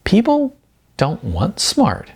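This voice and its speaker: A narrator voicing a blunt, bubbly young aspiring actress who talks in casual, animated bursts and likes to tease. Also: medium pitch, slow, husky, pitched above the performer's own voice, exaggerated voice. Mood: hedging, tactful.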